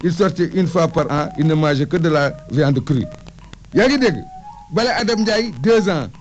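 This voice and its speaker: A man's voice: a little high, normal speed. A man recounting history and lists of names in an emphatic, reciting way, as an oral historian in conversation. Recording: muffled lo-fi audio with music behind. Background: music.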